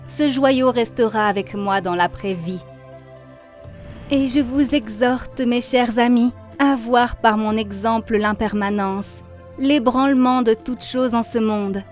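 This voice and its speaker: A woman's voice: a little high, steady tone, slow pace. A woman voicing a devout, grateful young woman in a cartoon, speaking earnestly and solemnly. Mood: solemn, exhorting. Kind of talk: dubbed cartoon monologue, testimony. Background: faint background music.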